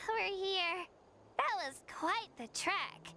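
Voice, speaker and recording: A woman's voice: very high-pitched, big pitch swings, drawn-out words, noisy background, full character voice. An actress voicing a sincere, shy young girl training hard as a warrior in a game, with music behind. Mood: earnest. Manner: sincere, shy, eager to please.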